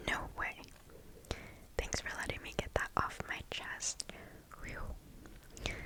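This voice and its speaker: A host reading stories aloud in a breathy, hushed ASMR whisper, close to the microphone, in a deliberate way. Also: low-quality recording.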